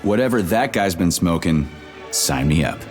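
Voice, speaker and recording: Sarcastic soldier, swinging pitch. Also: male voice, animated, moderate pace, soft background music. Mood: sarcastic, irritable. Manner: brusque, sarcastic, irritable. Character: gruff, impatient, sarcastic male military agent. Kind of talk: scripted video game character dialogue.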